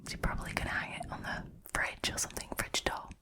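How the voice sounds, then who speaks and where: A woman speaking in a breathy whisper, telling short stories and chatting casually about them in a relaxed ASMR style. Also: quick pace. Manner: informal, chatty, amused. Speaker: host reading and reacting to short stories.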